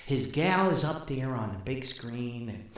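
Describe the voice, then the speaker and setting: The recording is heavily echoey and reverberant. An older man with a medium-pitched, animated voice tells anecdotes like a podcast host. He rambles along in a casual, folksy way, with big swings in intonation. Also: a little slow. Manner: rambling, folksy, chuckles at own stories. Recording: very echoey, reverberant room sound.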